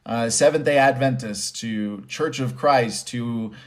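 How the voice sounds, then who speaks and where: A man's voice: medium pitch, slow. A man giving conversational motivational commentary with personal stories, stressing points by repeating phrases. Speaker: host and commentator.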